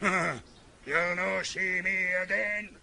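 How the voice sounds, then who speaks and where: Gruff, gravelly Scottish head gardener; slow and terse, bright edge. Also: male voice, sits high, fairly even intonation, slight background noise, full character voice. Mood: dour. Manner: terse, dour, slow-spoken.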